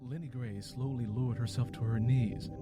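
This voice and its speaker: A man's voice: mid-range voice, fairly even intonation, normal speed, warm tone, soft background music. A man who works as a podcast host and storyteller, speaking to listeners. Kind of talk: conversational interview and scripted audio drama narration.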